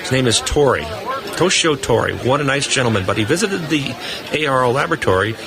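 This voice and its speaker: A man's voice: medium pitch, animated, brisk, gravelly, a crowd in the background. A man giving unscripted, explanatory answers as a technical guest in a live interview. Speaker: radio equipment test engineer, interview guest. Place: booth on a convention hall floor. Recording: noisy busy convention floor background.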